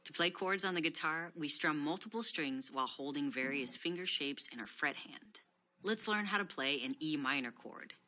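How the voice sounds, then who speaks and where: A woman giving a scripted guitar lesson, explaining steps clearly and steadily. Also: sits low, normal speed, sharp tone. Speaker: guitar instructor.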